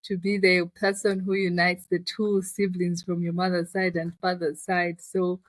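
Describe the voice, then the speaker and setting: A woman's voice: fairly low pitch, flat delivery, normal speed, no echo, low-quality recording. A woman with a Zambian accent telling her personal story as an interview guest, in a reflective, conversational way. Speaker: interview guest, finance business founder.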